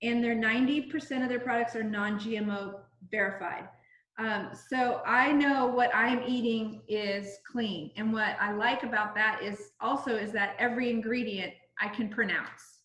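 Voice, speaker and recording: A middle-aged woman from Southern California runs a casual home cooking demonstration over a video call, her voice sitting a little high. She keeps it chatty and informal, full of ums and restarts. The call audio carries a noticeable roomy echo. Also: steady tone, moderate pace, a bit bright. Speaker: direct-sales cooking consultant.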